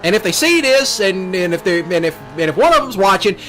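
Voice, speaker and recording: A man's voice: sits high, a little fast, faint music behind. A man with a Southern US accent, a streamer rambling casually in a folksy, brash way with rural slang, often repeating himself. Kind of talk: casual rambling rant over game play. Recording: noisy, with steady vehicle sounds behind.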